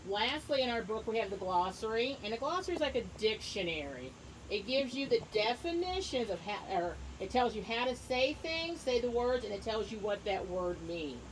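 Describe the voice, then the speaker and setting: A woman teacher reading a book aloud to young children, encouraging them and pausing to ask questions and explain things simply. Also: a little high, sing-song, slight background noise, poor audio. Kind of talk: read-aloud lesson with asides and questions to children.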